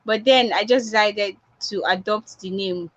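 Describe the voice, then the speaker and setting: A woman's voice: medium pitch, a little slow. A woman with a Nigerian accent, a public speaking coach giving a motivational online talk and repeating her points for emphasis. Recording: dull lo-fi online audio.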